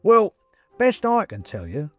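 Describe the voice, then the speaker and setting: A man's voice: high-pitched, wildly swooping pitch, a little slow, fairly bright tone, faint music behind, voice pushed up, exaggerated voice. A man voicing a fussy, chatty little male china ghost in a storybook, with genteel old-fashioned phrasing and needling politeness. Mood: chatty, matter-of-fact.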